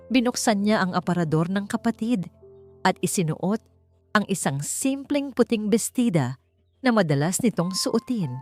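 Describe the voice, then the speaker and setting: A woman reads a scripted dramatic story as its narrator. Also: medium pitch. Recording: music behind the voice. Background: background music.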